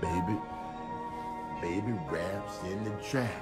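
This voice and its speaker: A man with an American accent voicing a cocky toddler who raps boastful rhymes in a low-key spoken flow. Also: takes it slowly, darkish tone, soft background music. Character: cocky little toddler rapping tough about breakfast. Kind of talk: rhyming spoken rap.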